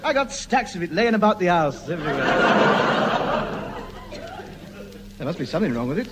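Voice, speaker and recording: A shabby English chancer putting on grand airs in a vintage radio comedy. His high-pitched voice rises and falls dramatically, bluffing grandly while lapsing into everyday slang. Heavy noise covers the old recording. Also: sing-song, racing, over a noisy crowd. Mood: bluffing, unimpressed. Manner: mock-grand, bluffing, slipping into colloquial speech. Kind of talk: 1950s British radio comedy acting.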